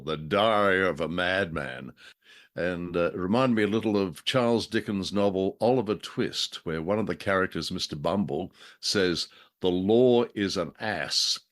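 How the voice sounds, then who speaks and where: Wry, lowish-voiced male commentator. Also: measured pace. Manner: wry, bookish. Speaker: show commentator. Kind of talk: chatty review commentary.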